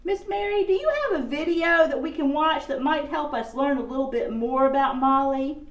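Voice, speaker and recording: A woman voicing a curious, excitable puppet child in a children's puppet show, with an animated, wide-eyed manner. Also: high voice, moderate pace, highs cut off, close to an everyday voice. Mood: eager. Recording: echoey room, far from the mic.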